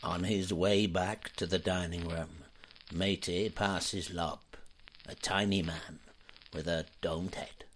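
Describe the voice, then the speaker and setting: A deep-voiced narrator, a man reading stage directions for a radio play. He is slow and measured, over faint noise with small clicks. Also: fairly even intonation, a bit bright. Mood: measured, wry. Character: unhurried storytelling narrator.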